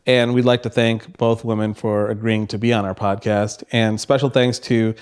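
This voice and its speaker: A man's voice: lowish voice, level tone, normal speed, darkish tone, clear, resonant tone. A man hosting a casual podcast, introducing a guest and asking easygoing questions with a joking tone. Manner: easygoing, joking, with frequent fillers. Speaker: podcast host.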